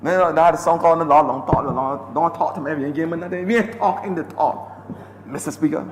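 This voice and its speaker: A man's voice: fairly high pitch, fast. A man with a Barbadian accent giving an impassioned, repetitive speech in a parliamentary debate. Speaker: member of parliament. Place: parliament chamber. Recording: echoey chamber with long reverb and faint hiss.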